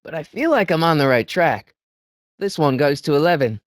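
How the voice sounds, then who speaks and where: An actor playing an excitable, good-hearted teenage boy in an adventure video game, with hesitant stammers and an incredulous way of questioning things. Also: on the high side, normal speed, no room sound, close to an everyday voice. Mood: musing, curious. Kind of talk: animated video game voice acting.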